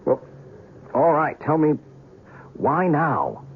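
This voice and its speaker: A man's voice: a little high, big pitch swings, slow pace, narrow, tinny audio, heavily acted. An actor playing a shaken, skeptical and stubborn male reporter in an old-time radio drama, talking in a colloquial, pleading way. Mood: troubled, doubting. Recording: noisy, thin old radio recording.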